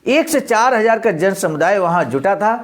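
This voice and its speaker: A presenter reads a script formally, pausing to explain it and address listeners. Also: mid-range voice, animated. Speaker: presenter of a daily speech-reading programme. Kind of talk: scripted reading with spoken commentary.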